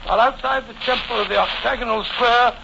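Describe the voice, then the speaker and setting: A flustered old english doc, really high voice, noisy old radio show. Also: male voice, a little fast, creaky, lo-fi sound. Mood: genial. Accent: British. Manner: bumbling, hesitant. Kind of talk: 1940s radio drama acting.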